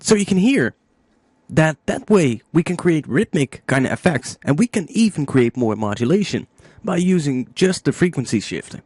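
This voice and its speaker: A man narrating a music software tutorial step by step, informally thinking aloud into a close microphone. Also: fairly high pitch, big pitch swings, normal speed, husky, no room sound.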